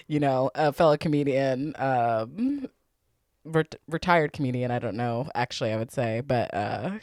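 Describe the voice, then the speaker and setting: A woman with a low voice co-hosts a comedy podcast. Her pitch swings widely as she chats, laughs often and fills pauses with ums. The recording is close and dry, with no room sound. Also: dry recording. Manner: lively, laughing, many ums. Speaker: podcast co-host. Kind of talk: casual chat.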